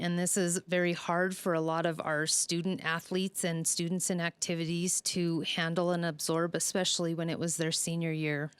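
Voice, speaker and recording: A woman's voice: lowish voice, clear, resonant tone, dry recording. A woman speaking as a school superintendent, giving an earnest, reassuring public update to families in a semi-scripted way.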